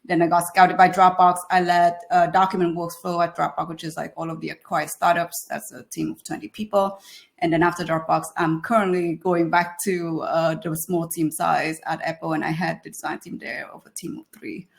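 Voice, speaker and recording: A woman's voice: fairly low pitch, little pitch movement, moderate pace, gravelly. A woman in her thirties from Vietnam with a Vietnamese accent, giving a webinar talk as a design leader, with many fillers and restarts. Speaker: guest speaker, design leader.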